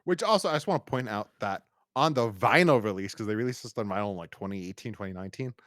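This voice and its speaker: A man's voice: on the low side, animated, quick pace, fairly bright tone, no echo, studio quality. An American man hosting a podcast, chatting casually and wordily, with stammering restarts. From United States. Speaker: podcast host. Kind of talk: casual review podcast chat.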